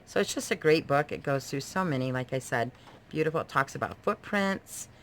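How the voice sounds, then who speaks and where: Low-voiced woman with background noise. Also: animated, brisk. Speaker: homeschooling mother presenting books. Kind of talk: casual show-and-tell book review. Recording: noisy home video recording.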